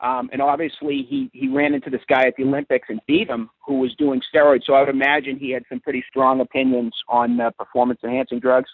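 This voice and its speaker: Male reporter, gravelly and slightly high, on a muffled, dry conference line. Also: lively intonation, fast, few pauses, no room sound. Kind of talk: conversational questioning on a media call. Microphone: telephone.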